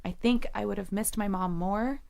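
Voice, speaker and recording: A woman's voice: mid-range voice, normal speed, gravelly, a little mellow, close to an everyday voice. An actress playing a defensive young woman in therapy, guarded and sarcastic, in an audio drama. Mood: guarded, reflective.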